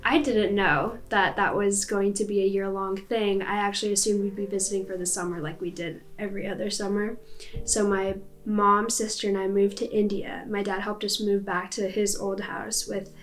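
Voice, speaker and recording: A woman's voice: mid-range voice, little pitch movement, normal speed. A young woman with an American accent telling a personal story in a chatty, casual way, with many fillers. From United States.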